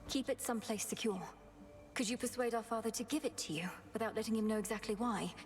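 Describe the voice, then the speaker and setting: A woman playing an earnest, resolute young witch princess in a video game, speaking in a formal, measured manner. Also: on the high side, faint music behind, close to an everyday voice. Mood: serious, questioning. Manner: formal, measured, archaic phrasing. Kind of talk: video game drama acting.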